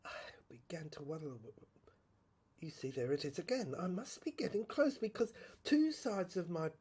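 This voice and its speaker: A woman playing a troubled, introspective character in an audio drama monologue, halting, repeating herself and searching for words, with sudden hushed drops. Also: fairly low pitch, animated, slightly rough. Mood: feverish, musing. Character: troubled introspective woman obsessively recounting her inner mental breakdown. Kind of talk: dramatic first-person monologue for a serial audio play.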